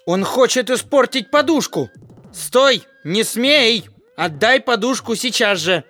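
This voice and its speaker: Small plucky fairy-tale hero: extremely high, swooping voice, earnest, excitable, indignant. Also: male voice, slow, faint music behind, full character voice. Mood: demanding. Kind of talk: children's musical audio play acting.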